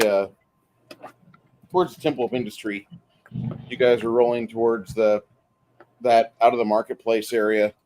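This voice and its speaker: A man running a tabletop game as game master, narrating scenes in a halting, descriptive way. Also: medium pitch, a little mellow, rough recording.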